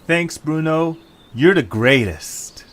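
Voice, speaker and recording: A man playing an eager, hopeful young adventurer in an amateur audio drama, with excitable, expressive delivery. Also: a little high, takes it slowly. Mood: earnest. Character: eager, hopeful young male adventurer leading his friends.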